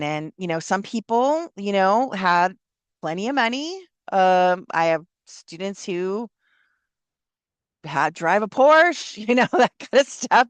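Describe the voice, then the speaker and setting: Chatty, encouraging woman instructor, lower voice. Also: no echo. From Southern California. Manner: chatty, encouraging, lots of fillers. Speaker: college instructor. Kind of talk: casual classroom explanation.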